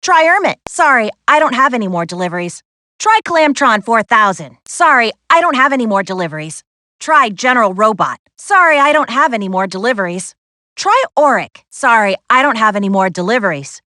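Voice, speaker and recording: A woman voicing a confident, spirited young adventurer in a video game, briskly giving missions with an earnest, lightly wry manner. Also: a little high, very animated, bright, forceful tone, no room sound, clean studio sound. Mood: friendly, instructive. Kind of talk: video game character voice acting.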